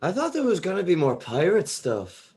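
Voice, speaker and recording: A man's voice: high-pitched, wildly swooping pitch, moderate pace, darkish tone. A man playing a hesitant, naive young newcomer to a band, read casually in a comedy script read-through. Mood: bemused.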